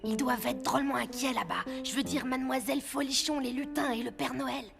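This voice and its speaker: A woman's voice: high voice, a little fast, creaky, over loud music. A woman voicing a practical, cautious young boy in a children's cartoon, with a matter-of-fact manner. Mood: thoughtful. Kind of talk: French children's cartoon dubbing.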